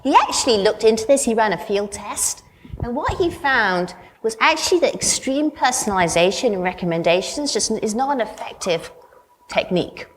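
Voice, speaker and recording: A woman's voice: a little high, expressive, moderate pace. A woman professor on a conference panel, explaining research in an upbeat, optimistic way. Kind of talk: panel discussion. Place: conference hall. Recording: reverberant conference hall, faint noise.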